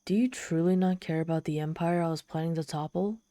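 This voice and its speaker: Ancient demon woman, played by a woman for ASMR audio drama: lowish, velvety dark voice, proud and theatrical with a vulnerable streak. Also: steady tone, a little fast, no room sound, clean studio sound, lightly acted. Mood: teasing, exasperated. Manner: haughty, theatrical, softening into shy vulnerability. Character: ancient proud tsundere demon woman, lonely, haughty, teasing. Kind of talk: ASMR audio roleplay, addressing the listener.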